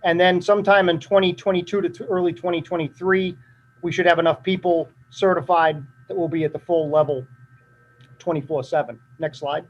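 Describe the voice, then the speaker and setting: Man, high-pitched, matter-of-fact budget presenter, slightly dry voice, dull lo-fi meeting audio. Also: a little fast, slight background noise. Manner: steady, matter-of-fact, with frequent uh fillers. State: voice drying up. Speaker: fire chief presenting budget. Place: town meeting room.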